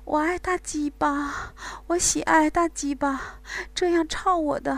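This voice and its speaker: A woman voicing a passionate adult woman in an intimate scene, with breathy, high moaning cries. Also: fairly even intonation, measured pace, a bit bright, no echo.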